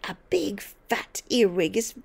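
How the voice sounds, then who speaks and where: A woman's voice: mid-range voice, lively intonation, slow pace, gravelly, darkish tone, full character voice. A woman voicing a tiny, bossy, mean old grandmother who scolds and snaps with gloating emphasis in a storybook reading. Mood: gloating relish.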